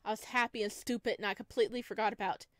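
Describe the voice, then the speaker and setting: A woman's voice: medium pitch, steady tone, very fast, no echo. A woman streamer reading a visual novel aloud expressively and dramatically, adding her own commentary, close to the microphone.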